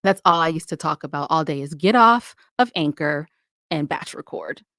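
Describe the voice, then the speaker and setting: A woman's voice: low-pitched, animated, normal speed, fairly bright tone, dry recording, clean studio sound. A woman podcaster speaking conversationally as an interview guest, giving advice in an emphatic, opinionated way.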